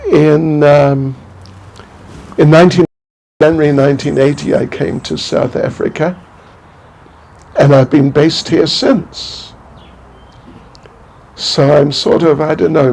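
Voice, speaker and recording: An older man from New Zealand giving an informal spiritual talk, halting and rambling, with many restarts and small chuckles. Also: a little high, vocal fry, darkish tone. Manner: chuckles to himself. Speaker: spiritual teacher.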